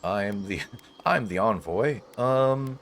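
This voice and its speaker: A man's voice: on the low side, animated, takes it slowly, a bit bright. A man giving casual, playful gameplay commentary as a host, with jokey asides to game characters. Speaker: gaming host playing through a game. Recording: clearly noisy recording with background ambience. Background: game ambience and action sounds.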